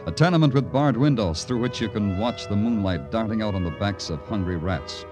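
An actor playing a weary, sardonic but compassionate police lieutenant in an old-time radio crime drama, with a wry, clipped manner. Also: male voice, on the low side, lively intonation, brisk, close to an everyday voice. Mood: brooding. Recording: vintage radio drama with music.

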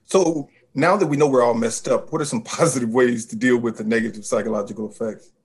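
A man's voice: mid-range voice, quick pace, slightly rough. A man hosting a radio interview segment, reading scripted introductions and questions. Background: music.

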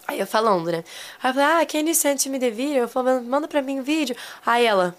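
A young Brazilian woman, a podcast guest, telling a travel story in casual chat, animated and laughing, repeating phrases for emphasis. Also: high voice, a little fast. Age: young adult.

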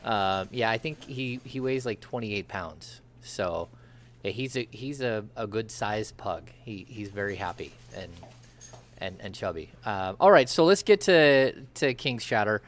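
A man's voice: on the low side, expressive, a little slow, slight background noise. A man hosting a casual sports podcast, rambling with lots of fillers in a friendly way. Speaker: podcast host.

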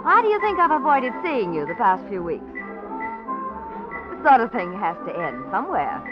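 A woman's voice: a little high, sing-song, unhurried. A cutting, composed married woman who is breaking off an affair, and her voice rises and falls freely. The dull vintage radio recording has music behind her. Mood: cool. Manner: cool, cutting, arch. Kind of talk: 1940s radio drama acting.

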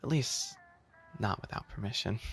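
A soft, slightly gravelly animal boy acted by a man who manages a maid cafe. He is flustered and hesitant yet teasingly sweet, in an ASMR roleplay with light music behind him. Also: lowish voice, big pitch swings, measured pace, exaggerated voice. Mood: friendly, playful. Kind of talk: boyfriend ASMR roleplay acting.

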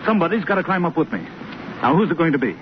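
Male actor as plucky young soldier, fast talking, slightly high voice, earnest, muffled vintage radio recording. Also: animated, a little hiss or hum, natural voice. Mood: urgent. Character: earnest, plucky, brisk young army private. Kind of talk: 1940s radio drama acting.

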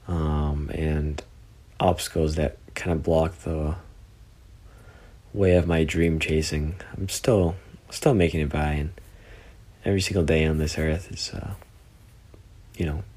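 A nineteen-year-old man talks in a very deep, dark, mellow voice. He sounds friendly and grateful, chatting casually into his phone. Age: teens. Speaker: college student caller.

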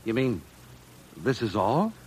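An actor playing a brash, confident, take-charge lead engineer who talks like a pep-talking coach in an old-time radio drama. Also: male voice, lowish voice, sing-song, takes it slowly, slight background noise. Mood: brisk. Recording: vintage radio drama recording.

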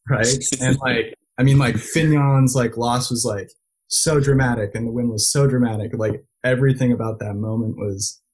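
A man's voice: mid-range voice, fairly even intonation, moderate pace, darkish tone, slightly rough, poor audio. A man telling stories in a casual interview, full of "like" and restarts. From United States and Belgium. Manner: lots of filler likes, restarts. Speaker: interview guest. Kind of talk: casual conversational storytelling.

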